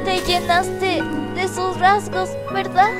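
A fading child, played by a woman, with an extremely high voice and little rise or fall. The child sounds fond and resigned, speaking Latin American Spanish in a cartoon fandub over prominent music. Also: completely flat delivery, takes it slowly. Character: dying child, fond, resigned, softly teasing. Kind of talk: dramatic animation fandub.

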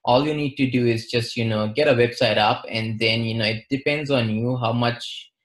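A young man from western India with an Indian accent, explaining things in a measured, matter-of-fact way as an interview guest. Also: mid-range voice, fairly even intonation. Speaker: interview guest, marketing advisor. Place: plain-walled room, indoors.

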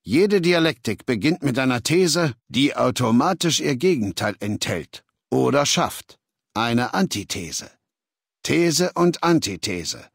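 An actor playing a cold, learned warlord dictator in a video game, speaking in an articulate, condescending, lecturing way. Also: male voice, medium pitch, lively intonation, takes it slowly, creaky, slightly rough, no room sound, studio quality, full character voice.

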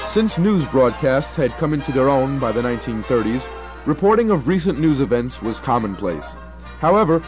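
A young man narrating a scripted radio documentary in a formal, measured announcer's manner. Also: medium pitch, darkish tone. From United States. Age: young adult.

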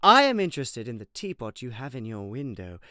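A woman's voice: a bass voice, sing-song, a little fast, bright, forceful tone, dry recording, studio quality, heavily acted. A woman playing a genteel, fussy and clumsy plant-folk lady in an audio drama, with polite, flowery speech. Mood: prim, delighted. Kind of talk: fan audio drama acting. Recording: clearly noisy background.

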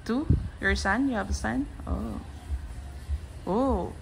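A woman's voice: on the high side, slow, creaky, faint music behind, low-quality recording. A woman with a Filipino accent, chatting casually in a vlog at a nail salon and giggling often. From Philippines. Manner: giggly, chatty, mixing English and Tagalog. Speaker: vlogger.

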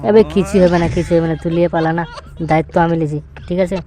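A woman's voice: fairly low pitch, fairly even intonation, very fast, dark tone, lightly acted. A woman voicing an eager, reckless loyal young friend in a comic cartoon, prodding and reassuring. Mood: egging on.

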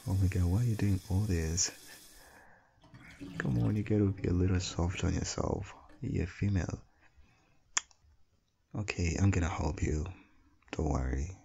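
Man acting a very deep, gravelly doting husband. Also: normal speed, pauses often, dark tone. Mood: playful. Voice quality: low, gravelly, husky. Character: affectionate, playful young husband and doting father. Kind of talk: intimate ASMR boyfriend audio roleplay.

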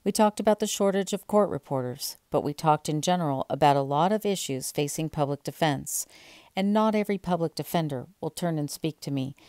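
A woman's voice: low voice, brisk, darkish tone, no room sound, clean studio sound. A woman in her thirties, an independent court reporter, speaking earnestly and pointedly to camera in an indoor hallway. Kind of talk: to-camera commentary.

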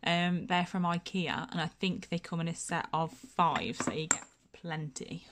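Chatty girl, bit blocked up from hay fever, lots of ums, slightly low voice, faint hiss. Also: fairly even intonation, a little slow. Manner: chatty, self-deprecating, many fillers and restarts. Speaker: nail technician and channel host. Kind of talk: casual room-tour chat. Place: home nail room, indoors.

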